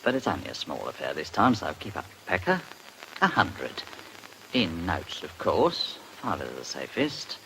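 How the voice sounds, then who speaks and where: An actor playing a smug, jaunty English blackmailer in a radio drama, reading out a letter in a breezy, insinuating way. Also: male voice, sits low, wildly swooping pitch, a little slow, noisy background, exaggerated voice. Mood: mock regretful. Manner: breezy, insinuating, drawling hesitations.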